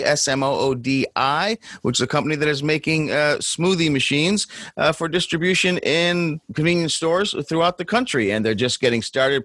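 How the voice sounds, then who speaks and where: A man's voice: a little high, fairly bright tone, no echo, clean studio sound. A man hosting a podcast, asking questions in a conversational interview style. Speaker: podcast host, magazine editor.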